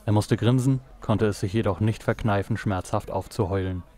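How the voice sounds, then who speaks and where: Erzähler mit eher tiefer Männerstimme. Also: male voice, lowish voice, normal speed, warm tone. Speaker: narrator. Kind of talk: scripted noir audio drama narration.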